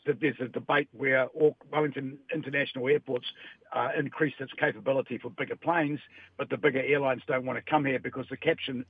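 A man's voice: medium pitch, fairly even intonation, a little fast, husky, dry recording. An older man, calling in to a radio chat and speaking his mind bluntly and emphatically. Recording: tinny dry phone line.

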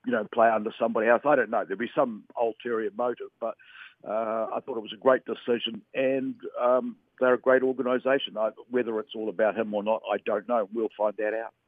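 A man's voice: mid-range voice, flat delivery, a little fast, no echo. A man from Western Samoa who talks in a rambling, hesitant way with many fillers, calling into a radio sports chat. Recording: tinny phone line.

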